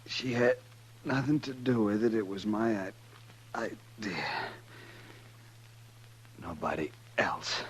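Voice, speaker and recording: Wounded western outlaw, very breathy, slow and faltering, classic radio drama. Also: male voice, on the low side, low-quality recording. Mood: weak, resigned. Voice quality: breathy, husky, weak. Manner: halting, faltering. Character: dying wounded outlaw, weak and remorseful. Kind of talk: 1950s radio western acting. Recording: vintage 1950s radio recording.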